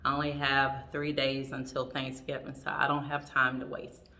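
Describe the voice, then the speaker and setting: A woman with a raspy voice chatting casually in a home vlog, full of ums and restarts, with her throat a little sore. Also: a bass voice, little pitch movement, moderate pace, pushed and bright, distant mic. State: throat trouble, clears throat. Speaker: vlogger.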